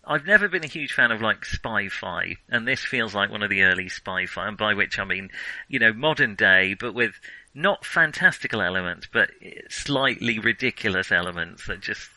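A man's voice: lowish voice, animated, normal speed, sharp tone, no echo, poor audio. A man co-hosting a casual film discussion, hesitant and often correcting himself as he thinks aloud. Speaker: film podcast co-host.

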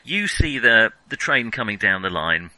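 A man's voice: on the low side, very animated, moderate pace, pushed and bright, no echo, poor audio. A man discussing film in conversation, reflective and hesitant as he thinks aloud. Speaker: podcast host.

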